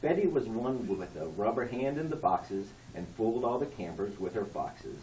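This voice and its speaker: A man reading a rhyming poem aloud at a party like an expressive amateur storyteller. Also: lowish voice, moderate pace. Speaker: family member reading a tribute poem. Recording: echoey room, distant mic, background noise.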